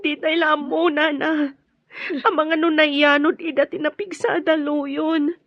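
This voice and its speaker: A distressed young heroine of a radio drama. Her voice is very high and pleading, close to tears. The recording is dry and dull. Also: little pitch movement, slow pace, dry recording. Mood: pleading, sad. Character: distressed young woman longing for her missing sweetheart.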